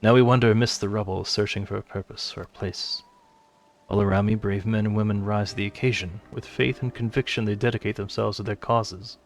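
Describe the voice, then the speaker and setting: A man's voice: on the low side, fairly even intonation, brisk, lightly acted. A man playing a brooding, self-doubting young nobleman in a fantasy game, earnest and formal in delivery. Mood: anguished, resolute.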